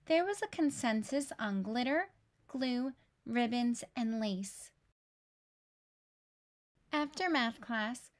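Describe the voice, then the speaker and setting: A woman reading a children's picture book aloud in an expressive storytelling style. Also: on the high side.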